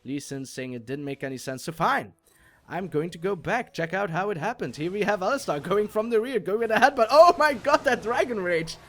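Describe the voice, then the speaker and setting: Man shoutcasting a game replay. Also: sits high, a little fast. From Belgium. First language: not English. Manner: jokey, self-mocking, rises sharply on exciting plays. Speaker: esports shoutcaster. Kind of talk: solo game commentary over a replay.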